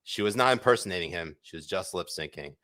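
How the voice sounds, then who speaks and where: A man's voice: sits low, lively intonation, fast, sharp tone, no echo, studio quality. A man co-hosting a podcast, chatting casually and irreverently about the news with crude jokes. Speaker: podcast co-host. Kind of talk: casual comedic news chat.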